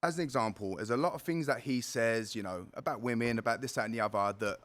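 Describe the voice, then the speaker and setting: A man's voice: medium pitch, fast, a bit bright. A man with a South London accent, a fighter talking loosely as a podcast guest, full of fillers and "bro". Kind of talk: casual conversational interview.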